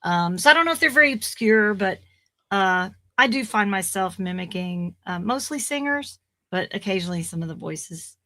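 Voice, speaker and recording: A woman in her forties, a voice actor guest on a podcast, chatting in a rambling way with fillers and restarts, her voice slightly raspy. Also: mid-range voice, animated, moderate pace, pushed and bright. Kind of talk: casual podcast interview.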